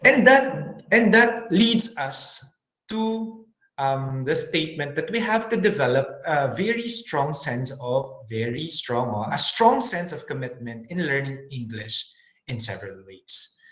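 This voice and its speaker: A man giving an earnest webinar talk on teaching science in English, pausing often with fillers and restarts. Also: a little high, big pitch swings, a bit bright, echoey room, low-quality recording. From Philippines. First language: Filipino.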